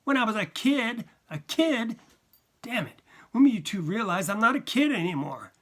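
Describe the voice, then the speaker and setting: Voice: mid-range voice, sing-song, normal speed, a bit bright, pitched above the performer's own voice. A narrator voicing an angry, sarcastic ten-year-old boy who snaps indignantly.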